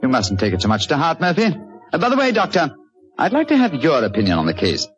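An actor playing a shrewd, self-assured young gentleman detective with a British accent in 1940s radio style, speaking precisely and formally. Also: a little high, huge pitch swings, fast talker, soft background music. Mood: genial, insistent. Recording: muffled old radio recording.

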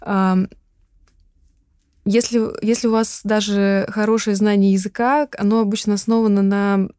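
A young woman answering interview questions in a measured, articulate way. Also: mid-range voice, little pitch movement, darkish tone, clear, resonant tone, no room sound, clean studio sound.

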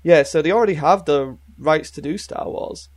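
A man's voice: on the high side, normal speed, no echo. A man in a casual podcast chat about games, speaking as a panelist.

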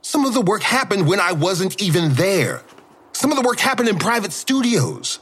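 A man's voice: high-pitched, sing-song, normal speed, fairly bright tone, husky, exaggerated voice. A man playing an irritable, defiant mural artist in an audio drama, guarded and combative in his curt replies. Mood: defensive.

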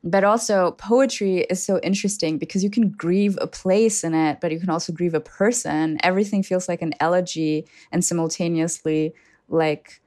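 Low-voiced thoughtful German-born woman. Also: fairly even intonation, darkish tone, dry recording, clean studio sound. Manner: thoughtful, measured, searching for words. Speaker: poet guest. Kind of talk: reflective conversation.

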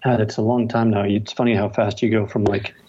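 A man's voice: on the low side, little pitch movement, a little fast, muffled recording, background noise. A middle-aged male novelist chatting casually as an interview guest, telling stories in a wry, self-deprecating way. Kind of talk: casual interview chat with anecdotes.

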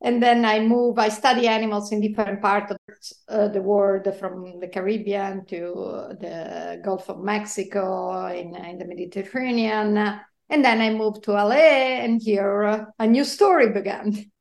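A woman from Italy with an Italian accent, speaking as an interview guest in halting phrases with many fillers and soft laughs. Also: mid-range voice, fairly even intonation, creaky, reverberant. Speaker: guest, marine biologist. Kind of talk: interview conversation.

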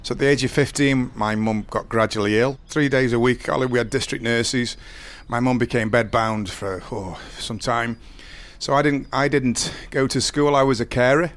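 A man in his forties with a deep voice, telling his life story slowly and earnestly in an interview, often restarting his sentences. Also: fairly even intonation, fairly bright tone. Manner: slow, halting, earnest storytelling with many restarts. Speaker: interview guest. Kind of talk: candid personal interview.

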